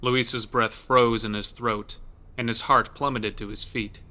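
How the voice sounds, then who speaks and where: A man's voice: medium pitch, level tone, a little slow, bright, forceful tone. A man narrating a scripted horror story as the narrator of an audio drama.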